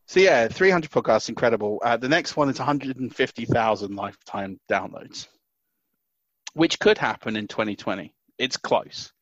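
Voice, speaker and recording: A man co-hosting a podcast from home, talking loudly and excitedly, often restarting his sentences. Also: medium pitch, moderate pace, dry recording, lo-fi sound. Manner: excitable, stumbling restarts, loud. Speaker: podcast co-host. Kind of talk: casual podcast chat. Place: small house.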